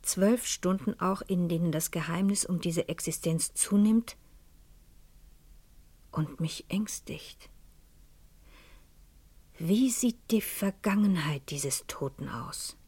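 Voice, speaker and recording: An actress playing a composed, probing, melancholy lady in a German radio crime drama, speaking and narrating in a formal, deliberate way. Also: on the low side, mellow, slightly rough, no echo. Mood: anxious, brooding. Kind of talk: German radio play acting with reflective narration.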